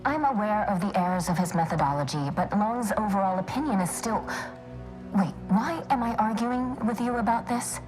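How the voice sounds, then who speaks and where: A woman's voice: mid-range voice, expressive, moderate pace, music in the background, close to an everyday voice, rough recording. A woman playing a sardonic, argumentative scholar with a blunt way of talking in a video game. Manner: sarcastic, blunt. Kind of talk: video game dialogue acting.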